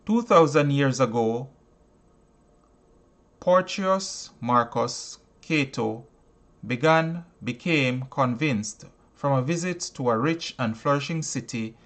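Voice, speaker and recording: A man with a medium-pitched voice reads a motivational book aloud. His pace is slow and deliberate. He delivers it like an orator, with emphasis on key words and pauses between short phrases. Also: expressive, a bit bright. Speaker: audiobook reader and teacher. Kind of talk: audiobook reading of a self-help book.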